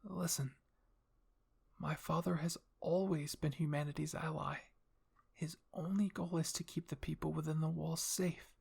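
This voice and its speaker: A man's voice: on the high side, fairly even intonation, moderate pace, creaky, darkish tone, husky, exaggerated voice. A man voicing an earnest young royal woman, a devoted daughter, in an anime roleplay drama with a pleading manner.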